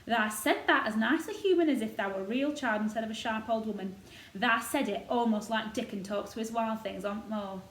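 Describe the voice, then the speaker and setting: A woman's voice: a little high, fairly quick, close to an everyday voice. A woman voicing a blunt old male gardener with a Yorkshire accent and rustic dialect, for a storybook. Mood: blunt, amused. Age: older. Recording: roomy echo, noticeable background noise.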